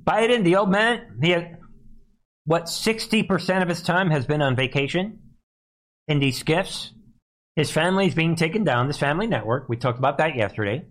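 A man's voice: on the high side, moderate pace, fairly bright tone. A man hosting live political commentary, speaking emphatically and scornfully and repeating phrases to drive them home. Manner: scornful, mocking. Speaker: live news commentary host.

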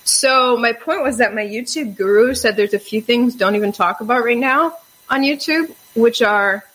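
A woman hosting a casual talk show, lively and quick to laugh. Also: a little high, poor audio. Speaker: podcast host. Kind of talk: casual chat.

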